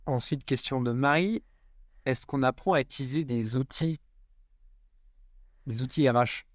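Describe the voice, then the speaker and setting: A man's voice: medium pitch, unhurried, dry recording, clean studio sound. A man hosting a podcast, asking questions casually with some fillers. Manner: relaxed, friendly, some fillers. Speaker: podcast host.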